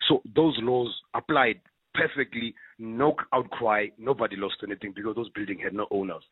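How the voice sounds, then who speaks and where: A man calling in to a talk radio show, his husky voice squeezed through a tinny phone line. He talks animatedly and stumbles over repeated words when he presses a point. The line sounds dry and close. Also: fairly low pitch, fairly even intonation, moderate pace, no echo. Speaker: radio caller. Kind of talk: call-in radio discussion. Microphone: telephone.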